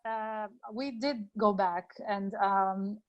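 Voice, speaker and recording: A woman from Azerbaijan, a freelance photographer, talks in a hesitant way and fills her pauses with small sounds. Her pitch sits in the middle for a woman. She speaks over a video call. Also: steady tone, lo-fi sound. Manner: hesitant, many fillers.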